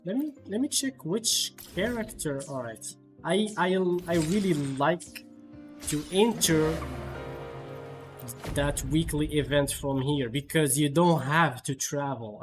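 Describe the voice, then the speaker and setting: A man giving casual live gameplay commentary as a streamer, often repeating words in a halting way. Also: a little high. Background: faint game music.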